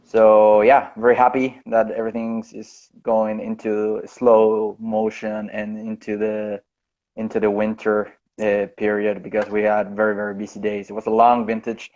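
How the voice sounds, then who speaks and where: A man born in Chile, a guest winemaker speaking over a video call, unhurried and often restarting or correcting himself. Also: on the low side, completely flat delivery, darkish tone. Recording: dull lo-fi video call audio.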